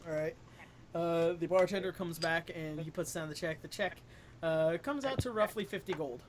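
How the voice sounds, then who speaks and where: A man narrating a tabletop game as game master, relaxed with many fillers. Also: a little high, moderate pace, noisy background.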